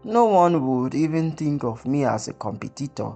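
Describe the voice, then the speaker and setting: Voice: medium pitch, big pitch swings, moderate pace, warm tone, faint music behind. Small self-doubting ant voiced by a storyteller. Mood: discouraged.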